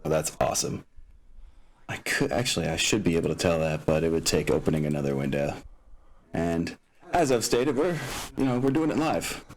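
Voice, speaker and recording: Game master, male, low voice, casual and halting, explaining rules and maps to players. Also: lively intonation. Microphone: open microphone picking up room sounds.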